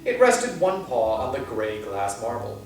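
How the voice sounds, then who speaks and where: A man reading a children's book aloud as a library storyteller, with slow, expressive delivery. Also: medium pitch. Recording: echoey room, far from mic, soft guitar.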